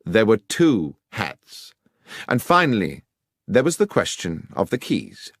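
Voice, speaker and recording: A man's voice: fairly low pitch, sing-song, takes it slowly, no echo, clean studio sound, full character voice. A man voicing a confident, incisive detective in an audiobook, speaking formally and precisely as he lays out deductions. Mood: assured, incisive. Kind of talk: audiobook character acting.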